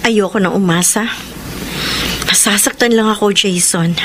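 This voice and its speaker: A woman's voice: mid-range voice, unhurried, close to an everyday voice. An actress playing an anguished, abandoned young woman in a Filipino radio drama, plaintive and sincere. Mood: sad, resigned. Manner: plaintive, sorrowful delivery. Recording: clearly noisy radio drama recording.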